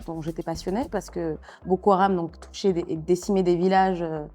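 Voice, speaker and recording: A woman from Niger speaking as a journalist in a conversational interview, telling personal stories with halting self-corrections. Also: lowish voice, steady tone, mellow, soft background music.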